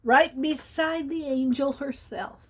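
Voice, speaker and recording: A woman's voice: high-pitched, steady tone, slow. Woman playing a chuckling old reindeer. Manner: chuckling, kindly, knowing. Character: old friendly male reindeer ornament.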